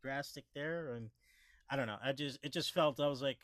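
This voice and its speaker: A man's voice: mid-range voice, brisk, a bit bright, no echo, studio quality. A man co-hosting a movie review podcast, rambling casually with lots of restarts and fillers. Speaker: podcast co-host.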